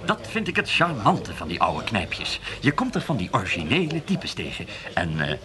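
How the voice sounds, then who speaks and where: An actor playing a genteel, polite film director in a comic radio drama, speaking in a refined manner. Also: male voice, on the low side, wildly swooping pitch, normal speed. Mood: polite, musing. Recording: busy chatter around the voice. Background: crowd in a pub.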